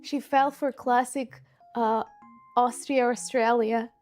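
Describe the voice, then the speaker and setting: High-pitched Ukrainian-accented woman livestreamer. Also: slow, soft background music. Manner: bubbly, animated, rambling. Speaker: livestream host. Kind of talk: casual livestream chat while cooking.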